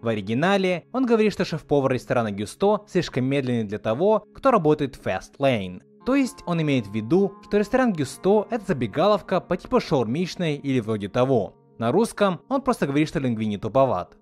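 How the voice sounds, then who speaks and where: Animated man narrating a video essay. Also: fairly high pitch, a little fast, hardly any pauses, soft background music. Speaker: video host.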